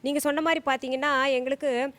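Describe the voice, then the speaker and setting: A woman's voice: high-pitched, steady tone, fairly quick, a bit bright. A woman talking off the cuff as a judge in a singing contest. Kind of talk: unscripted judging and panel chat.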